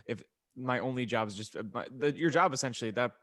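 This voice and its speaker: A man's voice: mid-range voice, fast talker, a bit bright, no echo, clean studio sound. A man co-hosting a casual podcast, talking in a rambling, conversational way. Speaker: podcast co-host.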